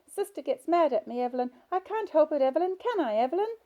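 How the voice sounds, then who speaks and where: A woman's voice: sits high, lively intonation, fast, dull audio, voice pushed up. A woman voicing a middle-aged hospital patient who talks in a whiny, childish, pleading way. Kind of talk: audiobook character voice.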